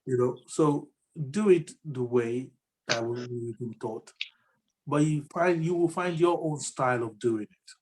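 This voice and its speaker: A man's voice: mid-range voice, measured pace, darkish tone, slight background noise, low-quality recording. A man co-hosting a motivational talk show, speaking earnestly and encouragingly with frequent "you know". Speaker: podcast co-host.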